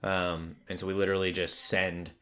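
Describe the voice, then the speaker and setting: Male podcast host, lowish voice, casual and low-key, a little hesitant. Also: level tone, normal speed, pushed and bright. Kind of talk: casual chat.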